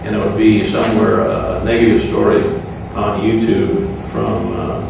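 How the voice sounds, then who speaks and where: A man speaking off the cuff as an official at a public meeting, halting, solemn and aggrieved. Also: on the low side, very animated. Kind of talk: extemporaneous public remarks. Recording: very echoey room, distant mic, clear noise.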